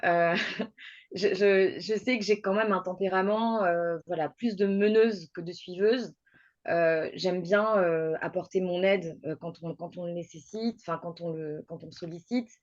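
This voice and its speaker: A woman in her late thirties from Toulouse recounts her path in a personal monologue. Her voice sits fairly low, and she hesitates often and restarts her sentences. The recording is dull and lo-fi. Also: fairly even intonation, a little slow. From southern France, Toulouse. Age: 30s. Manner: hesitant, many fillers and restarts. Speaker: podcast guest speaker.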